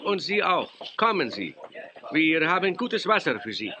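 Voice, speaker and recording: A man's voice: a little high, sing-song, unhurried, bright, forceful tone, slight background noise, exaggerated voice. A man playing a terse, commanding village elder in a children's audio drama.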